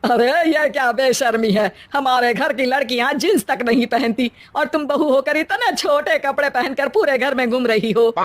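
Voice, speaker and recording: A woman's voice: high-pitched, moderate pace, hardly any pauses, dry recording, close to an everyday voice. A woman voicing a scandalised, traditional middle-aged mother-in-law in a cartoon moral story, scolding with exclamations.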